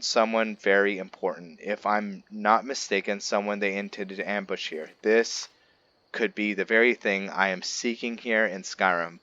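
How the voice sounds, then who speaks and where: Low-voiced man with halting delivery, muffled dry recording. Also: a bit bright, clear, resonant tone, no echo. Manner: halting, stumbles over words, restarts. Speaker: gaming channel host. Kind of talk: roleplay gameplay commentary and reading aloud.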